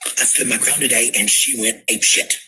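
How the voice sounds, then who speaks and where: A woman with a sharp, forceful edge to her voice, speaking to stream viewers and arguing at home. She is blunt and confrontational and swears freely. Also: very deep voice, fairly even intonation, brisk, slightly rough. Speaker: livestreamer and parent. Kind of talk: heated argument and ranting to stream viewers.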